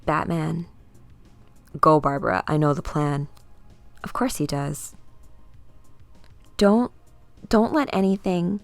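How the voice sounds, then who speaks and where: A woman giving a dramatic, theatrical read-aloud of a comic book, acting out the characters slowly and deliberately. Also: sits low, expressive, no room sound, soft background music. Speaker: comic book reader performing all characters.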